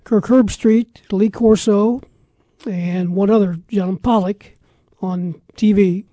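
Sports radio host, American man, relaxed dark tone, dry close-mic studio sound, casual talk. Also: sits high, slow pace, no room sound.

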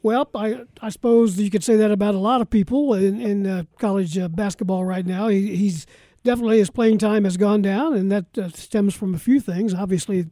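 Mellow male radio sports host, dry studio sound. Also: high-pitched, steady tone, brisk, dry recording. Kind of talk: casual radio sports talk and live sponsor reads.

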